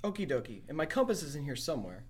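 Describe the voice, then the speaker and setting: Guy doing plucky radio drama adventurer muttering to himself, kinda raspy, up and down pitch. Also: sing-song, rapid-fire. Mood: focused, self-talking.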